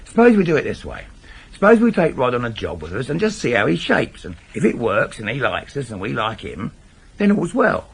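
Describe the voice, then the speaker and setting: A man's voice: medium pitch, huge pitch swings, a little fast, vocal fry, breathy edge, full character voice. An actor playing a controlling middle-aged English burglar who instructs his gang insistently, in a naturalistic radio drama. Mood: persuasive. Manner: instructive, insistent, repeats himself for emphasis. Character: wily, controlling veteran burglar, father running a small gang. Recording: noisy 1980s radio drama broadcast recording.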